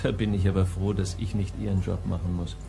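A smug male hospital doctor in a crime radio play. His low voice has a gravelly creak, and he talks in a suave, insinuating way over clear background music. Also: flat delivery, brisk, mellow. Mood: brusque, guarded. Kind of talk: crime radio drama acting.

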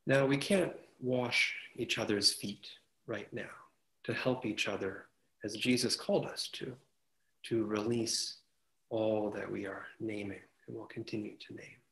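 Pastor guy in his 30s on a video call with earphones, lowish gravelly voice, roomy echo. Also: steady tone, measured pace, fairly bright tone. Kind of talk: sermon reflection. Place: room at home, indoors. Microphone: earphone mic on a video call.

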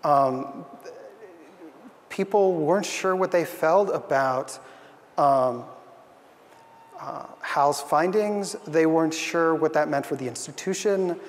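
A man's voice: fairly high pitch, takes it slowly, pauses often, long reverb tail. A young man giving an earnest, thoughtful conference talk on a stage, with frequent fillers. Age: young adult. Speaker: conference speaker. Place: stage in a large hall.

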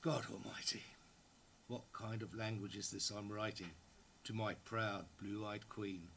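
A man's voice: on the low side, fairly even intonation, unhurried, creaky, a bit bright, slight background noise. An actor in his sixties giving a dramatic reading as an ardent, guilt-ridden male writer addressing his lover, in a live room. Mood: urgent, pleading. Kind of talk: dramatic reading of a literary letter. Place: indoor library room, seated before an audience.

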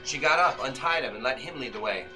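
A man in his thirties narrating a novel as a suspenseful old-time radio play, with deliberate, dramatic phrasing. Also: mid-range voice, expressive, rough recording. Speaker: author reading his own novel. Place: magazine-lined shop, indoors, small audience. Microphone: camera in the audience, some distance away. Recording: live shop performance under loud music. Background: live violin and keyboard music.

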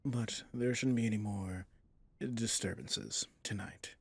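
A man playing a possessive villain boss in an intimate ASMR roleplay, speaking formally and commandingly yet indulgently, in a husky, breathy voice. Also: lowish voice, steady tone, a little slow, no room sound. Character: smooth possessive male villain boss, doting on favorite servant.

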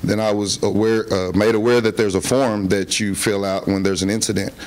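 Low-voiced man speaking haltingly at a meeting. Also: flat delivery, darkish tone. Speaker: parent giving public comment. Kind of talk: unscripted public address to a board. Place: public meeting room, indoors.

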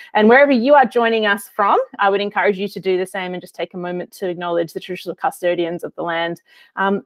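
A woman's voice: medium pitch, no room sound. A woman in her thirties with an Australian accent, hosting an online webinar in a chatty, filler-heavy way over a video call. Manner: chatty, friendly, lots of um and uh. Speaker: webinar host. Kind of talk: casual presenting with many fillers.

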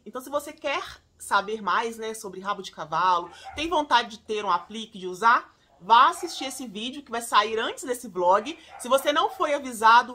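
A woman in her forties chatting to camera from a room at home, recommending skincare products, animated and chatty, calling her viewers "gente". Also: fairly high pitch. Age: 40s. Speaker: beauty vlogger.

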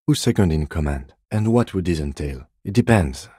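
Very deep, composed male detective. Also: lively intonation, warm tone, breathy edge, dry recording, clean studio sound. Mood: dry, businesslike. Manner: measured, formal, understated. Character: composed, dry, methodical male police detective. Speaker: video game voice actor. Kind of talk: video game character dialogue.

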